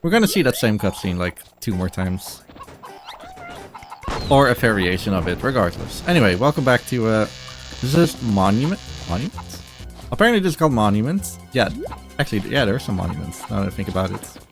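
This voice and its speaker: A man's voice: on the low side, big pitch swings, fairly quick, gravelly, music in the background. A man giving casual live speedrun commentary, explaining things in a hesitant, dryly humorous way.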